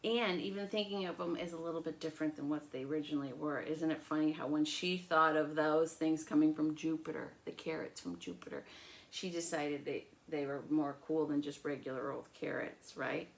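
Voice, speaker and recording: A woman hosting children's storytime, telling stories and chatting with young listeners in an expressive, encouraging way. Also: low voice, fairly quick. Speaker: children's storytime host and teacher. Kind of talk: animated storytelling and chat for children.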